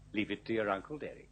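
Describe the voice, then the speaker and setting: An actor playing a scheming, smooth-talking businessman in a radio drama, with a glib, wheedling and condescending manner. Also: fairly low pitch, fairly bright tone, rough recording. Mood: wheedling, seductive. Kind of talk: old-style radio drama acting.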